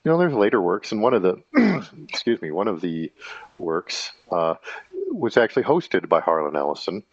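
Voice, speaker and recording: A man in his fifties talking in a casual rambling monologue, halting and full of fillers and self-corrections. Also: sits low, sing-song, husky, no room sound, muffled recording. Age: 50s. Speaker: channel host.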